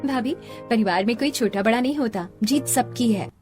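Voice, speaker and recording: A woman voicing a humble, gentle young daughter-in-law in a Hindi cartoon family drama, polite and earnest. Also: fairly high pitch, moderate pace, natural voice. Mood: encouraging. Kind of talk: melodramatic Hindi cartoon story dialogue. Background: music.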